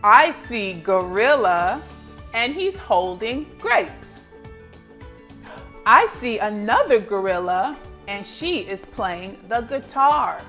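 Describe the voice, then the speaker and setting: A woman teacher giving a read-aloud lesson for young children, with clear instructional delivery and expressive storybook narration. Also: mid-range voice, takes it slowly, soft background music.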